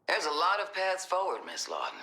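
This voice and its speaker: An actress playing a commanding middle-aged government director in a video game, measured and coolly manipulative. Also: female voice, fairly low pitch, wildly swooping pitch, sharp tone, roomy sound, heavily acted. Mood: firm, controlled. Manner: measured, authoritative.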